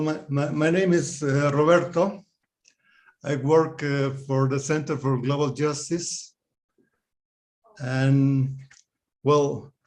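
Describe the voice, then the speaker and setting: Man in the audience asking a question, slow delivery, higher voice, polite and halting. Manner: hesitant, polite, many fillers.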